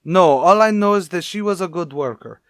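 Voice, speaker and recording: A man's voice: high-pitched, animated, normal speed, a bit bright, no room sound, clean studio sound. A man playing a mournful, emphatic restaurant boss answering police questions in a radio crime drama, plaintive and repetitive. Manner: emphatic, plaintive, repeats himself. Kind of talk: old-time radio crime drama acting.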